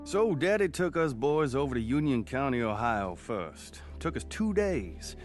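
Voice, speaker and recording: A man's voice: medium pitch, sing-song, measured pace, faint music behind. An actor playing a folksy man narrating memories of his rural boyhood in an old-time radio drama. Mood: reminiscent. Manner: plain-spoken, reminiscing storyteller.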